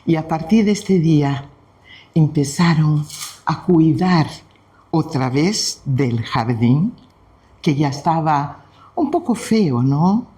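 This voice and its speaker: A man from Brazil whose first language is Portuguese, speaking Spanish with a Brazilian Portuguese accent. He leads a devotional spiritist talk and tells a story in a gentle, unhurried way with frequent pauses. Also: fairly high pitch, lively intonation. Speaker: spiritist study presenter.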